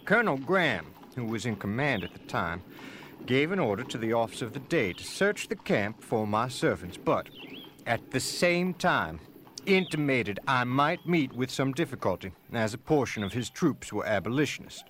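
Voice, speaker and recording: An actor playing an indignant slaveholder who makes a formal, emphatic complaint in a dramatic reading of historical documents. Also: male voice, mid-range voice, big pitch swings, moderate pace, fairly bright tone, slight background noise. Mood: formal grievance.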